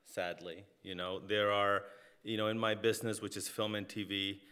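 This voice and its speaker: A man's voice: lowish voice, level tone, moderate pace, pushed and bright, clear, resonant tone. A man from Mexico, a film and TV director speaking on a live panel, reflective and full of "you know" fillers and restarts. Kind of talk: panel discussion.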